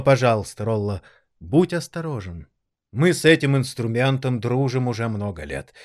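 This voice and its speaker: An elderly maestro and music teacher in an audio drama. His mid-pitched voice swings widely and theatrically, florid and excitable, with an indulgent, condescending affection.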